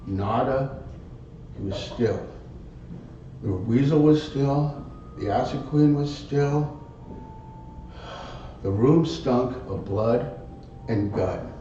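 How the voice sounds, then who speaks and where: A man telling a tall tale live as a contest speech, theatrically, in short halting phrases. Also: a little mellow. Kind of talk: live dramatic storytelling speech. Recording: very echoey room, distant muffled mic, rumble.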